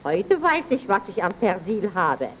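An actress playing a proud, chatty, assured housewife who gives advice in a scripted vintage radio advert. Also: female voice, mid-range voice, big pitch swings, a little slow. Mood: self-assured. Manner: assured, didactic. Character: proud, chatty housewife and mother praising detergent. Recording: dull early radio recording.

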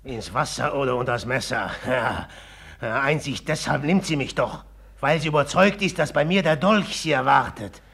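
An actor playing a brooding, bitter, obsessively jealous suitor in a German radio play, speaking haltingly with a rough edge. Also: male voice, medium pitch, fairly bright tone. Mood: bitter, agitated. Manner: halting and brooding, breaking into taunting outbursts.

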